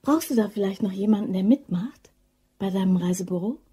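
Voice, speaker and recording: A woman's voice: mid-range voice, a little fast, warm tone, slightly rough, no echo, studio quality. A woman playing a teasing, flirty, wry office worker in an audio crime drama. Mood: wry, chatty. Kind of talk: German radio play dialogue.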